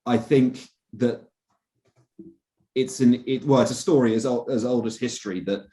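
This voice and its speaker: A man's voice: mid-range voice, fairly even intonation, normal speed, mellow. A young British-raised man, a journalist, talking earnestly in a casual podcast interview with many restarts and fillers.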